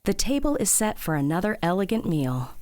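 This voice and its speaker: A woman's voice: medium pitch, big pitch swings, fairly quick, a little mellow. A woman narrating an audio drama in scripted, present-tense scene descriptions.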